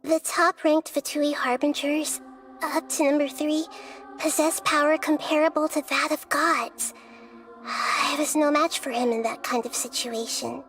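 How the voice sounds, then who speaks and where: A woman voicing a calm, thoughtful young girl who is a goddess of wisdom in a game, speaking softly and in measured phrases. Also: high-pitched, music in the background. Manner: soft, measured, explanatory. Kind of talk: video game dialogue.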